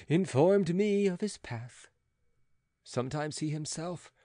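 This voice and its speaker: A man narrating a gothic audiobook in a theatrical manner, with emphatic swings and pauses. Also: high voice, slightly rough, no room sound, clean studio sound.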